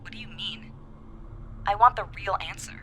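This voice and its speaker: A woman's voice: mid-range voice, normal speed, pushed and bright, slight background noise, lightly acted. An actress playing a guarded, wounded journalist in her twenties in an audio drama. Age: 20s.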